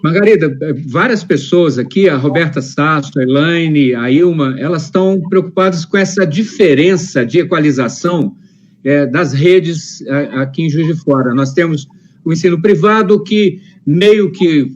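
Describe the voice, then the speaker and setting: A man hosts a live interview, his voice a little high for a man. He speaks in a conversational, halting way, filling pauses as he passes on viewer questions, and his audio comes through dull and lo-fi. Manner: conversational, hesitant, many fillers. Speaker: host reading viewer questions.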